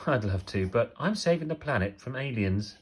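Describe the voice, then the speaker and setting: Little boy astronaut, played by a man, storybook character, raised pitch, polite, self-important. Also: lively intonation, moderate pace, a little hiss or hum, close to an everyday voice. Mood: politely self-important. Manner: polite, important-sounding excuses.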